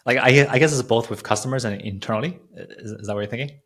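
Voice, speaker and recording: A man's voice: mid-range voice, fast talker, fairly bright tone. A man hosting an interview in a casual, informal way, thinking aloud and repeating words as he goes. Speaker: podcast host, interviewer.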